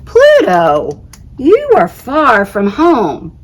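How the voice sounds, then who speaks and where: A woman voicing a big-hearted, wise Sun in a storybook, measured and reassuring, with emphatic delivery. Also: fairly high pitch, wildly swooping pitch, voice pushed up, exaggerated voice. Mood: grand.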